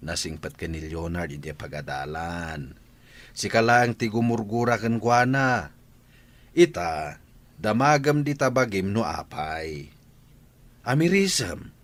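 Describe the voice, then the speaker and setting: Quarrelsome Ilocano husband, lowish voice, stern, scolding, resentful, radio drama. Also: male voice.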